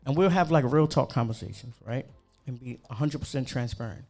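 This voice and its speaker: A young man from New York, a cook, chatting casually and animatedly with slang and quick asides. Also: mid-range voice, a little mellow, soft background music. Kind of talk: casual chat.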